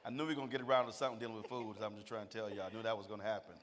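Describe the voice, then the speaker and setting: A man's voice: lowish voice, level tone, racing, a bit bright, a little hiss or hum. A man from Texas, moderating a stage panel in a loose, teasing Southern manner. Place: stage in a large hall. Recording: reverberant hall sound.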